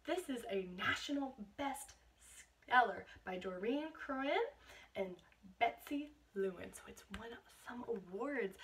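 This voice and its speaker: A woman reading a picture book aloud to children in an expressive teacherly way, stopping to explain things. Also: a little high, slow, husky. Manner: expressive, explains words and asks questions to young listeners.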